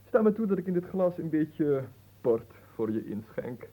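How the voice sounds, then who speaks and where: Dark, husky, capricious young nobleman. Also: on the high side, big pitch swings, normal speed, poor audio. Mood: teasing, condescending. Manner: theatrical, languid, teasing. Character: bored, capricious young nobleman, playful and mocking. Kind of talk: radio drama acting.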